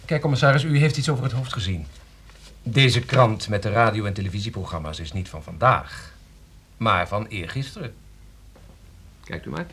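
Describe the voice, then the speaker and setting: An actor in a Dutch radio play playing a calm, sharp-witted amateur sleuth who reasons precisely and probingly. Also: male voice, fairly low pitch, moderate pace, long pauses, slight background noise.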